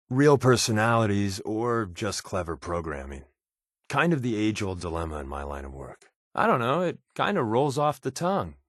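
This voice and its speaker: An actor playing a sardonic, wisecracking undercover resistance agent in a video game, with a casual, slangy, quipping delivery. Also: male voice, mid-range voice, no echo, clean studio sound. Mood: wry, casual. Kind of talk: video game character voice lines.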